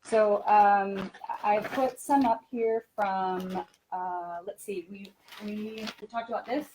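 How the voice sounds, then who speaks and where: A woman's voice: medium pitch, steady tone, slow, dark tone, a little hiss or hum, low-quality recording. A woman who teaches languages, explaining classroom methods informally and conversationally as she thinks aloud. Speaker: language teacher presenting a webinar.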